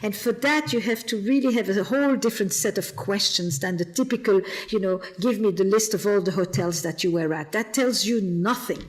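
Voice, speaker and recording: A woman's voice: mid-range voice, brisk, few pauses. Belgian woman, thoughtful podcast guest. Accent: Flemish Belgian. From Flemish part of Belgium. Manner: reflective, repeats words while thinking. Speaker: guest therapist. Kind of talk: podcast interview.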